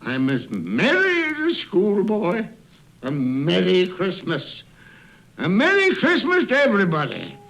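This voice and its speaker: An actor playing an elderly reformed miser giddy with joy, in exclamatory, theatrical radio drama style. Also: male voice, high-pitched, wildly swooping pitch, unhurried, vocal fry, fairly bright tone, heavily acted, low-quality recording. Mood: giddy, elated. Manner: exclamatory, chuckling, swooping delivery.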